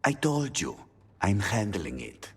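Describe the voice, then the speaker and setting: A man's voice: lowish voice, wildly swooping pitch, takes it slowly, fairly bright tone, breathy edge, full character voice. An actor playing a withdrawn, evasive, burdened male assassin in a video game. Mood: defensive, weary.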